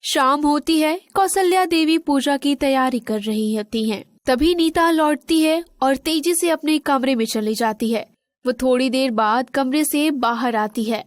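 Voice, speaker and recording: A woman narrator tells a scripted children's story. Her voice sits high, and the recording is dry with no room sound. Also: a little slow, no echo.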